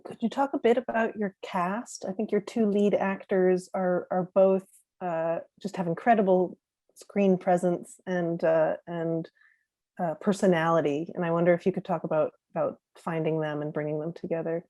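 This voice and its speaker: A woman moderating a festival Q&A, asking measured, thoughtful questions with some fillers. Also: lowish voice, fairly even intonation, dark tone, no room sound.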